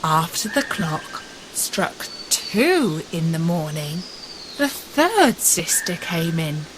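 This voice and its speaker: A woman narrating a fairy tale for English learners in a measured, expressive read-aloud way. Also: low-pitched. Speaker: storyteller. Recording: steady loud pink noise background.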